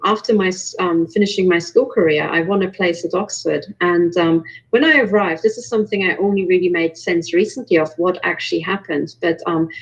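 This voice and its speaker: A woman from Romania giving personal testimony as a guest in an online panel, earnest and halting, with restarts. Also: fairly low pitch, poor audio. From born in Romania, later moved to Germany. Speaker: invited panel guest, researcher. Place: remote online call.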